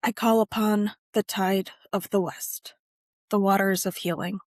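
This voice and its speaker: A woman narrating a scripted guided meditation and ritual in measured, deliberate phrases with frequent pauses. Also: medium pitch, fairly even intonation, no room sound, clean studio sound.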